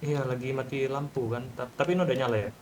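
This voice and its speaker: A man's voice: mid-range voice, fairly even intonation, quick pace, darkish tone, a little hiss or hum. A man chatting casually in a roleplay game, with a protective, checking-in way of talking. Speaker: roleplay gamer. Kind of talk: casual in-character roleplay chat.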